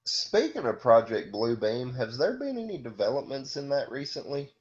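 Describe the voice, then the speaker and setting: A man with a lively, swinging voice chimes into a casual podcast chat with short reactions. The recording sounds dull and lo-fi. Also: medium pitch, moderate pace, slightly rough. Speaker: podcast co-host.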